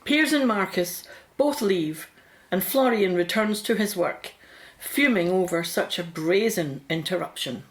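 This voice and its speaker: A woman's voice: lowish voice, lively intonation, a little slow. A woman reading scripted narration for a radio drama.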